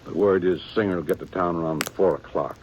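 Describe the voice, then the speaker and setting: An actor playing a weary, dutiful aging small-town sheriff with a plain folksy drawl in a 1950s radio drama. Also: male voice, low voice, brisk, muffled recording, natural voice. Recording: old radio broadcast with faint hiss.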